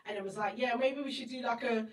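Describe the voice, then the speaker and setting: A woman's voice: a little high, quick pace. Woman in her 30s, chatty storyteller, laughs a lot, roomy echo, mic kinda far. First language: Igbo. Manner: chatty, laughs often, acts out her own inner voice. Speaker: festival guest speaker. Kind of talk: animated interview storytelling. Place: event venue indoors. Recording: echoey room, mic at a distance.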